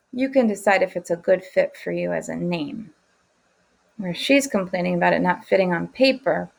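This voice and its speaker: A woman's voice: medium pitch, vocal fry. A woman teacher leading an interactive picture-book lesson for children, encouraging them and prompting with questions. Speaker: teacher reading aloud to children.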